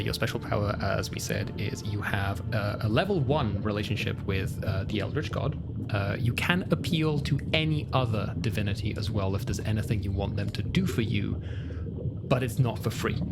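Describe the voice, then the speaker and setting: A man's voice: lowish voice, normal speed, creaky. A man who plays in a tabletop roleplaying game, casually explaining its rules. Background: loud music.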